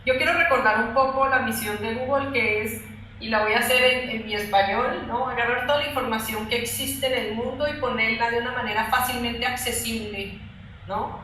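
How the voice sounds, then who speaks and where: Bright-voiced woman in an echoey room. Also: fairly high pitch, fairly even intonation, normal speed. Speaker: technology company executive, interview guest. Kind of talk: explanatory interview answers. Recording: very echoey room, faint background noise.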